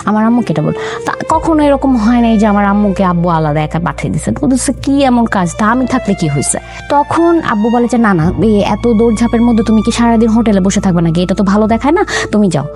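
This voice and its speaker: A woman's voice: mid-range voice, animated, fast talker, a little mellow. A young woman in an interview, earnestly recounting her family's story and quoting her parents' words as she goes. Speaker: interview guest. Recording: music bed under the voice.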